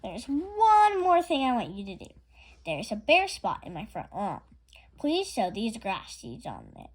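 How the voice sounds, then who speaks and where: A woman's voice: fairly high pitch, wildly swooping pitch, measured pace, heavily acted. A woman voicing an exasperated lady of the house in a storybook, emphatic and put-upon. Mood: instructing. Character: exasperated lady of the house, long-suffering employer.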